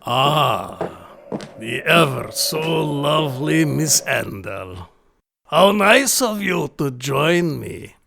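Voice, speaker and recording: An actor playing a gloating, mock-courteous villainous hunter, pompous and theatrical, in an audio drama. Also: male voice, fairly high pitch, expressive, gravelly, full character voice. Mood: oily, mock-charming. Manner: pompous, formal, drawn-out taunting.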